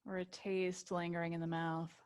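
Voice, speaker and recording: A woman teaching yoga nidra, guiding a relaxation meditation with gentle invitations and long pauses. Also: fairly low pitch, flat delivery, smooth.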